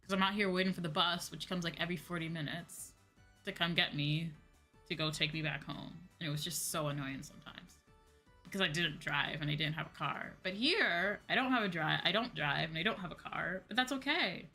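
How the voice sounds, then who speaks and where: A woman's voice: fairly low pitch, a little fast, pushed and bright. An American woman chatting casually on a livestream in an informal, slangy, rambling way. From United States. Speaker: VTuber streamer, host. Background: background music.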